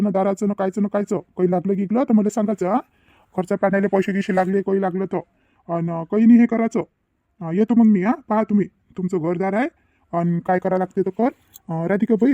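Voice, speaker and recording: Man voicing a high-voiced reassuring cartoon father. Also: warm tone, no room sound, muffled recording, close to an everyday voice. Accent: Varhadi Marathi. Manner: repetitive, reassuring, paternal. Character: reassuring father, generous protective family elder. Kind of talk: cartoon family drama dialogue.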